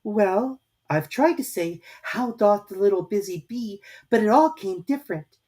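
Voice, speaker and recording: Woman doing a little girl in a fairytale, high n lilting, polite, timid, kinda plaintive. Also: animated, a little slow, warm tone, lightly acted. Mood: melancholy.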